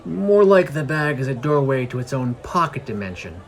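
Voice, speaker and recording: A man's voice: medium pitch, big pitch swings, normal speed. Man reading as a blunt, serious guard captain. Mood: explaining, dry. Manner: blunt, matter-of-fact. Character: serious, businesslike forty-year-old human guard captain. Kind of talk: fantasy story dialogue.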